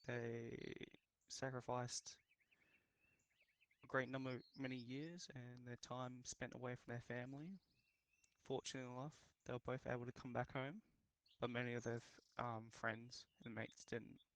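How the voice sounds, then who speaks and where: A man giving a halting, hesitant memorial speech in voice chat, full of pauses and ums. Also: medium pitch, little pitch movement, fairly bright tone. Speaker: memorial speaker.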